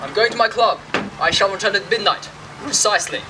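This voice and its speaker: A young man playing a precise, formal English gentleman who is fussy about time, in clipped speech on an outdoor stage. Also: high-pitched, brisk, noisy background, lightly acted. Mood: stern. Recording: distant mic, open-air theatre.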